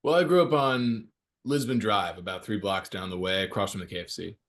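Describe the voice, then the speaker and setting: A man's voice: lowish voice, brisk, fairly bright tone. A man playing a laid-back, friendly young ski guy in a screenplay table read, casual and teasing. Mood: teasing, easygoing. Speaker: actor at a script table read. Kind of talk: casual naturalistic screenplay reading.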